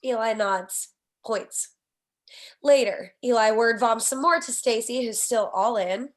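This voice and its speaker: A woman's voice: on the high side, measured pace. A woman reading the scene headings and action lines of a screenplay as the narrator at a table read. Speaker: stage directions narrator.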